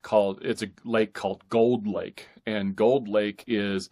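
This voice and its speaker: A man's voice: lowish voice, steady tone, measured pace, darkish tone. A middle-aged man with an American accent, talking casually as an interview guest in hesitant, meandering phrases. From northeastern California, United States. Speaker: podcast guest, author and pastor.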